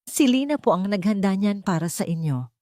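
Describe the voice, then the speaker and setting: A woman's voice: mid-range voice, lively intonation, brisk, warm tone, dry recording, studio quality, natural voice. A woman voicing a cheerful, sincere young man, polite and caring, in an audio story. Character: kind, cheerful, sincere young rich son.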